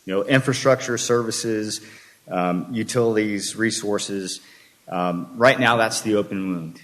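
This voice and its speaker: An older man, raised in Texas, speaking during public comment at a city meeting and listing his points one by one in a measured way. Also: fairly low pitch, a bit bright.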